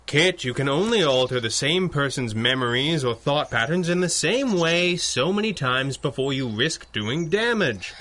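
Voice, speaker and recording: A man's voice: fairly high pitch, expressive, normal speed, few pauses, a bit bright. A man playing a wealthy, unflappable masked crime-fighter and boss, urbane, dry and formally worded, in old-time radio adventure style. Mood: distracted, patient.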